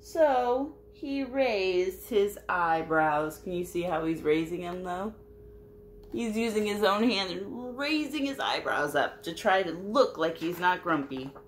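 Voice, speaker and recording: A woman with a slightly low voice reads to young children. She is very animated and takes her time, speaking directly to her listeners. Faint noise sits behind the home recording. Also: slow. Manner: expressive, addressing young listeners with simple questions. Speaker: children's storybook reader and host. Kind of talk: picture-book read-aloud with chatty asides to children.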